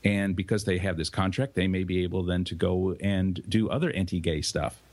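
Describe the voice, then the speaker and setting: A man co-hosting a podcast, talking things through in a measured, explanatory way. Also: deep voice, steady tone, a little mellow, no echo. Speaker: podcast co-host. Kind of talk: casual podcast discussion.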